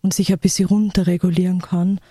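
Mellow-voiced woman in radio conversation. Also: lowish voice, level tone, fast talker, no room sound, studio quality. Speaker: radio interview guest. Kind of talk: conversational explanation of her work.

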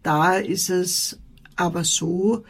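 A woman, a shelter official, explaining rules slowly and deliberately. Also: lowish voice, steady tone, gravelly, slightly rough. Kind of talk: radio interview answers.

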